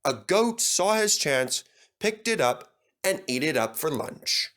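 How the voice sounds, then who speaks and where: A man's voice: fairly high pitch, very animated, slow, fairly bright tone. A man narrating a scripted children's story as a storybook reader.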